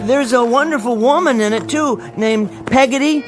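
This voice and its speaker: An actor playing a mild, bookish bank teller in a radio drama, fussy, apologetic and eager. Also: male voice, very high voice, normal speed, music in the background.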